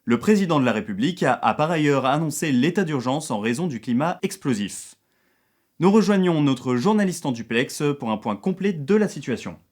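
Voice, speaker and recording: A man's voice: a little high, animated, normal speed, a little mellow. A man playing a formal television news anchor in an audio drama. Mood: formal, urgent. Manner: formal, announcing. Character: male TV news anchor, formal, secretly craving the apples. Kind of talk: French news bulletin acting in an audio drama.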